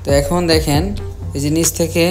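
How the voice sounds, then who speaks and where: Male tutorial host, Bangladeshi accent, instructional and repetitive, monotone delivery. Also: on the high side, fairly quick. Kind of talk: step-by-step software tutorial narration. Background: music.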